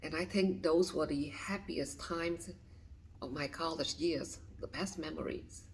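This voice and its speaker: A woman in her fifties from Vietnam, speaking, answering a list of questions softly and hesitantly, often dropping to a whisper. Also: sits low, a little slow, a little hiss or hum, low-quality recording. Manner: soft, hesitant, with frequent restarts and whispered phrases. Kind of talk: reading and answering interview questions.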